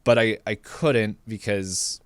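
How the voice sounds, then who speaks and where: A man's voice: lowish voice, fairly even intonation, slow pace, clear, resonant tone, dry recording. A man co-hosting a podcast, chatting casually about movies and retelling things in a jokey way with laughter in his speech. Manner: jokey, laughs through his own telling. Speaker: podcast co-host.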